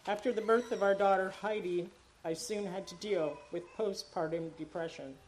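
A woman's voice: medium pitch, moderate pace, a little mellow, slight background noise, rough recording. A woman in her sixties whose first language is Dutch reads her personal testimony in church, earnest and at times tearful. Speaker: church member giving a baptism testimony.

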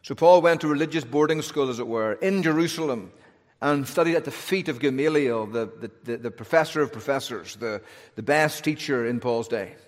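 A man with a Northern Irish accent, preaching a sermon in a relaxed, conversational way with humorous asides. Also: mid-range voice, brisk. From Northern Ireland. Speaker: pastor. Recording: long hall reverb.

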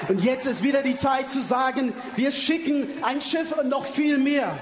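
A man's voice: very high-pitched, moderate pace. A man giving a public address to a crowd at a church event, declaiming and repeating a refrain. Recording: played clip with loud crowd noise.

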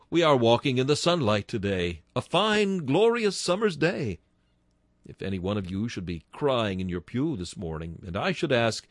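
A man preaches in a slow, measured sermon cadence. His voice swings widely, climbing on exclamations and falling to a hush. The sound is dry and close, with no room around it. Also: medium pitch, dry recording. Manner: slow, solemn and declamatory, swelling on exclamations and dropping to hushed tones. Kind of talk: Victorian-style sermon reading.

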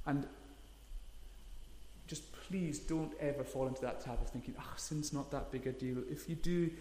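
A man's voice: medium pitch, normal speed, mellow, slight background noise. A man, preaching a sermon in an earnest, emphatic way, leaning hard on key words. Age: 30s. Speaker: pastor. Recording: echoey hall, long reverb.

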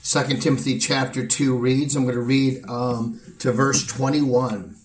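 A hoarse, gravelly-voiced man preaches to his congregation in an earnest, measured way, pausing often. He sounds a little far from the microphone. The church room around him gives a roomy echo. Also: mid-range voice, flat delivery. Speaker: pastor. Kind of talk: sermon. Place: church sanctuary. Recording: roomy sound from a distant mic.

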